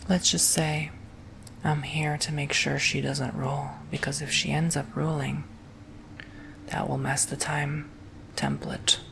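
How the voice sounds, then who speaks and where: A man whispering softly and gently through an ASMR roleplay, as if doing someone's makeup. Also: a little high, flat delivery, vocal fry, slight background noise. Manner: soft whispering, slow and gentle.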